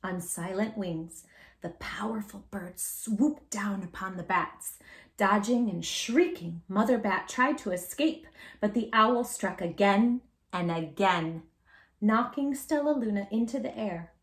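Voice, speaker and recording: A woman host reading a children's story aloud in an animated, expressive way, with dramatic pauses and drawn-out emphasis. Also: mid-range voice.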